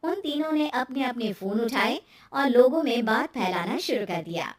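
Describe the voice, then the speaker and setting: Brief: female story narrator, very high voice, scripted delivery, recorded at some distance from the mic. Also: lively intonation, moderate pace, echoey room.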